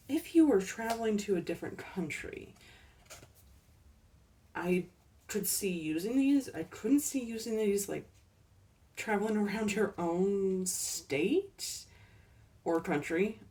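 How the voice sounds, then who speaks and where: A woman casually showing off her thrift store finds, unhurried and chatty with ums and little laughs, her voice a bit worn as she is losing it. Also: medium pitch, warm tone, far from the mic. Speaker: collecting channel host. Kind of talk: casual thrift haul chat.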